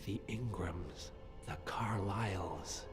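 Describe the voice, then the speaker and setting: A man's voice: deep voice, takes it slowly. A man playing a cornered, haughty spymaster in a video game, weary and bitter, with a disdainful manner. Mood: weary, confiding. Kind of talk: dramatic game cutscene acting. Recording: loud music behind the voice. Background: loud game soundtrack music.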